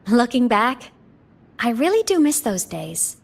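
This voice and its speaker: A woman voicing a gentle, wistful yet playful young former student in an anime video game. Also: fairly high pitch, very animated, very slow, creaky, slightly rough, heavily acted.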